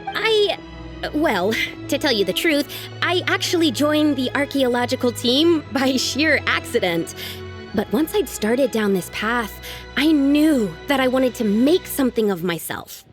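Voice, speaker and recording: An earnest young woman in a video game. Her voice is high and swings freely as she speaks, candid and excitable, over soft background music. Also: unhurried. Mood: rueful. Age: young adult.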